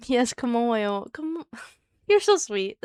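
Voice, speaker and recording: A woman streaming live and chatting easily with her viewers by name. Her soft, soothing voice sounds smooth and clear. It is recorded dry and close, with no room sound. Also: fairly high pitch, very animated, slow pace, no room sound. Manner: chatty and giggly, talks to chat by name.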